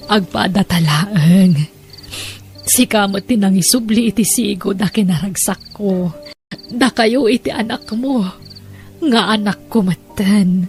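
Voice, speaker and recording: A woman's voice: medium pitch, measured pace, soft background music, lightly acted. A woman playing a sentimental, self-sacrificing middle-aged mother and wife in an audio family drama, with an Ilocano Filipino accent. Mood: nostalgic.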